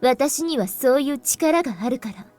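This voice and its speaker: A woman voicing a composed, serious young heroine in a visual novel, speaking in a measured, explanatory way. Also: sits high, expressive, a little mellow. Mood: serious, explaining. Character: composed young woman revealing grave secrets, anime game heroine. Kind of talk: Japanese visual novel voice acting. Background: game music.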